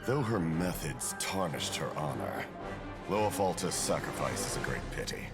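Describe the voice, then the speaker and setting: Deep, gravelly, stern officer. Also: male voice, animated. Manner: formal, measured. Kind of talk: dramatic game trailer acting. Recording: loud orchestral music behind voice.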